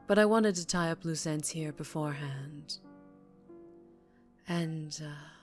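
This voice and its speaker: A woman playing a dangerously charming female mafia boss in an intimate ASMR roleplay, ruthless yet tender, speaking close and hushed with condescending affection. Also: deep voice. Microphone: close-up microphone.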